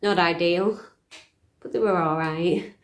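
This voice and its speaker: In a roomy, echoing space, a woman acts a middle-aged fairytale princess looking back on her life. She talks slowly and confidingly, with dry, understated wit and a weary edge, as in an intimate stage monologue. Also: fairly low pitch, fairly even intonation, natural voice. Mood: wry, confiding. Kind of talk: dramatic monologue, conversational.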